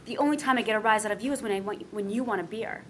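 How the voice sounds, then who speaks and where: A woman's voice: mid-range voice, racing, a bit bright, a little hiss or hum, natural voice. A woman playing a vain, haughty, glamorous young wife in a comedy script read. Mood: bored, snippy.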